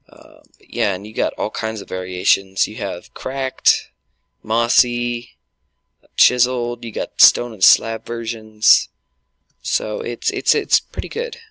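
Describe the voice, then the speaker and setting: A man with a sore throat and blocked nose talks over his game, stopping and restarting his sentences as he goes. His voice sits a little low yet sounds bright and pushed forward. Keyboard and mouse clicks patter quietly behind him. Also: fairly even intonation, no room sound, rough recording. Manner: halting, many ums and restarts. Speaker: gaming YouTuber. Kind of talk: casual gameplay commentary.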